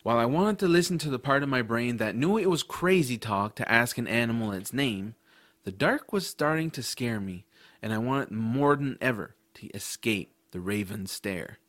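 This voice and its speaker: A man playing a curious, adventurous young boy who narrates his own story in an expressive, earnest audiobook style. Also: mid-range voice, normal speed, no room sound, lightly acted. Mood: suspenseful. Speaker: narrator and lead character.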